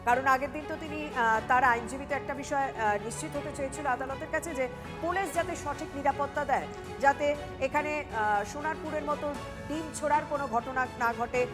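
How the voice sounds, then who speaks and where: A high-voiced woman anchors live breaking news over a clear music bed. She has a West Bengal accent. Her delivery is improvised, with frequent hesitations between phrases. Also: a little fast.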